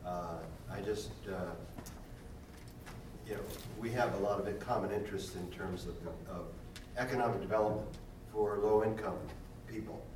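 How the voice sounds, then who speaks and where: A man's voice: on the low side, normal speed. Older man speaking hesitantly from far back. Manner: hesitant and searching for words. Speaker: audience member sharing a comment. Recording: echoey hall, distant mic, clear background noise.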